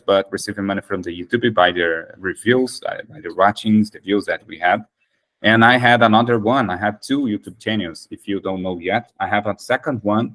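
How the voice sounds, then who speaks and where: A man's voice: on the low side, fairly bright tone, poor audio. A Brazilian man whose first language is Portuguese, hosting an English practice chat and speaking hesitantly with many restarts. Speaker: host of an English practice meeting. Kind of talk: casual conversation practice.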